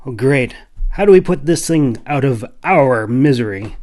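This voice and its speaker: A man's voice: medium pitch, expressive, a little slow, darkish tone, close to an everyday voice. A man voicing a wary, sardonic young male dragon sprite in a fantasy audiobook, blunt and grumbling. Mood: sarcastic.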